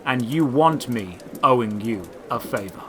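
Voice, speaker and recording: A man's voice: medium pitch, expressive, unhurried, fairly bright tone, a little hiss or hum, voice pushed down. A man playing a mysterious, commanding stranger who strikes bargains in a fantasy audio drama. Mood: cryptic, commanding.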